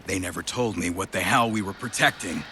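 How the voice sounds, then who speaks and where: An actor playing a bitter, world-weary survivor, cynical and foul-mouthed, in a video game cutscene. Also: male voice, pushed and bright. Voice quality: very gravelly, fried. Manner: cynical, profane, halting. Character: bitter, world-weary male outrider's son, debt-ridden survivor. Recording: clear background rumble and ambience.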